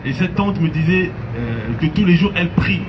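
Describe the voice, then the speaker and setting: A man's voice: a little high, normal speed, distant mic. French man preaching outdoors over traffic. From France. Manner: earnest, steady exhortation with Arabic phrases. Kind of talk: street preaching to a crowd.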